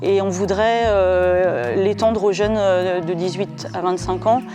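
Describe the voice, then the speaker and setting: A woman's voice: lowish voice, fairly even intonation, slow. A woman in her forties giving a hesitant campaign statement with many fillers. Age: 40s. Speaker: schoolteacher and election candidate. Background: music.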